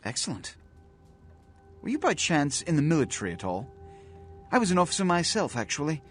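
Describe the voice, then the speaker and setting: An actor playing a haughty, aristocratic vampire prince in a video game, formal, pompous and condescending, coldly scheming. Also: male voice, a little high, very animated, a little fast, slight background noise. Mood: measured, businesslike. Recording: music behind the voice.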